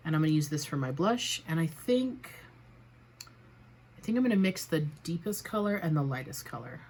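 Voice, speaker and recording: Low-voiced chatty woman, a bit raspy. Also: animated, normal speed, darkish tone, slight background noise. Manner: chatty, self-deprecating, narrating each step. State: slightly raspy from a cold. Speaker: beauty vlogger. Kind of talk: casual makeup tutorial chat.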